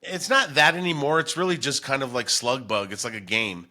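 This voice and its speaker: Bright-voiced American man, podcast host. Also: mid-range voice, brisk. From Denver, United States. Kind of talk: casual chat.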